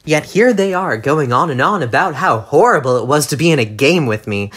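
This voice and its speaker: A woman's voice: sits low, lively intonation, brisk, slightly rough. A woman voicing a brash, vindictive young alien troll in an audio drama, emphatic, indignant and boastful. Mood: angry, defiant. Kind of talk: scripted character monologue in audio drama.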